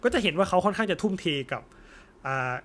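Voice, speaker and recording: A man's voice: sits high, expressive, fast, a bit bright. A young man chatting casually and informally as co-host of an anime discussion. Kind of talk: casual post-film chat.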